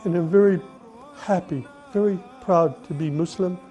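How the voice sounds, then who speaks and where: A middle-aged man from the United States, earnestly telling his own life story at an unhurried pace, with pauses and restarts. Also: sits high. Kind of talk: personal testimony, reflective storytelling. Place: Saudi Arabia. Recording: soft music behind the voice.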